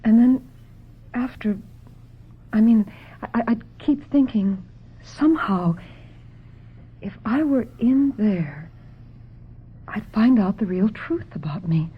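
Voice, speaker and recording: An actress playing a troubled, lovesick young woman, earnest and halting, confiding as she narrates a radio drama. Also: medium pitch, expressive, slightly rough. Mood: troubled, searching. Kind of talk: radio drama acting, confessional first-person narration. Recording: dull lo-fi radio drama, music underneath.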